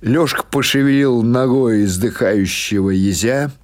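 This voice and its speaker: A man reading literary prose as an audiobook narrator, in an expressive, measured storytelling manner. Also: medium pitch.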